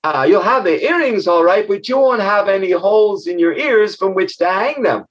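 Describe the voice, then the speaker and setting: A man's voice: high-pitched, animated, moderate pace, lo-fi sound, pitched above the performer's own voice. A man voicing a brave, clever little girl in a raised, earnest voice. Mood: nervous, clever.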